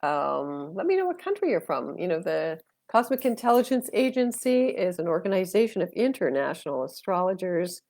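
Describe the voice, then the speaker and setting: A woman's voice: on the low side, very animated, moderate pace, hardly any pauses, a little mellow, no echo, clean studio sound. An older woman from Canada, stuffed up with a cold, chatting casually and rambling with many fillers as she hosts a live astrology and tarot stream from her phone.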